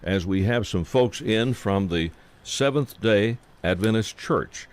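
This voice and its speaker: Folksy, halting male radio host. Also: on the low side. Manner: folksy, halting, many fillers. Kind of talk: casual radio interview.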